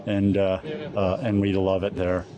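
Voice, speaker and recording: American male pilot, interviewee, low-pitched, conversational and halting, recorded amid clear crowd chatter. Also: completely flat delivery, dull audio. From Alaska, USA. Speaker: competition glider pilot being interviewed. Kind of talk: casual interview, recounting a flight.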